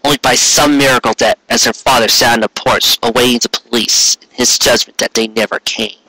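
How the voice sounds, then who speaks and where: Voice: medium pitch, fairly even intonation, brisk, pushed and bright, slightly rough, dry recording, rough recording. A reader giving a dramatic narration of a scripted story. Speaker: fan fiction reader.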